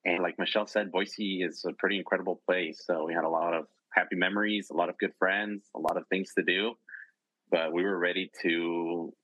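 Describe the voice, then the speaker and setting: Deep-voiced, bright-toned man in his thirties. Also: fairly even intonation, brisk, no room sound, lo-fi sound. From Bolivia, raised in Idaho, USA. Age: 30s. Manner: upbeat and chatty, laughing often. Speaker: podcast guest. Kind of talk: casual conversation.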